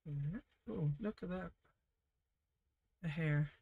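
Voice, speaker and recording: A woman leading a casual live painting lesson, reading viewer comments and chatting warmly as she paints, often laughing softly and trailing off mid-sentence. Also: low-pitched, slow pace, creaky, husky, phone-quality sound. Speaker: art teacher streaming a painting session.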